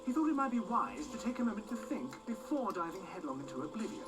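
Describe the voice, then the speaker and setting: A man playing an omniscient, wry storyteller in a video game, with measured, theatrical, wordy scripted narration. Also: high voice, big pitch swings. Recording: loud game music over narration. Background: loud game music.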